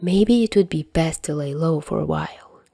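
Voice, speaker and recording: A woman reading fiction aloud as an expressive storyteller. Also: sits low, moderate pace, vocal fry, dry recording, clean studio sound. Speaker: podfic narrator.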